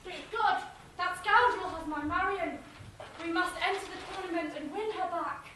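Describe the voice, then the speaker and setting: A woman's voice: extremely high pitch, normal speed. A woman playing a melodramatic heroic outlaw in a student stage pantomime. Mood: indignant. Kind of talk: live student pantomime acting. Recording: echoey, distant, noisy hall.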